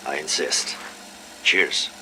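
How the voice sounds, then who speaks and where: An actor playing a weary, embittered and guarded military intelligence officer in an audio drama. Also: male voice, takes it slowly, background noise, lightly acted.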